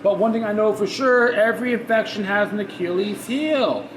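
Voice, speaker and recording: A man hosting a podcast, reading news articles aloud with sarcastic asides and stumbling over words. He has a high-pitched voice. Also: moderate pace. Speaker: podcast host. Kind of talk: ranting commentary while reading news articles. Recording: echoey room with background noise.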